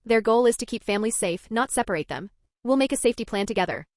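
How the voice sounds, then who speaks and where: A woman reading the lines of a calm, firm female police officer who speaks steadily and gently. The delivery stays close to the reader's own voice. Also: a little high, fast, no room sound, natural voice. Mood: steady.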